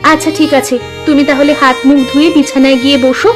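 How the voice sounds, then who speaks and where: Cartoon role: actress as poor village wife, very high voice, plaintive yet proud, soft music underneath. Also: female voice, flat delivery, fast, lightly acted. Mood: sympathetic. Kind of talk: cartoon village drama acting.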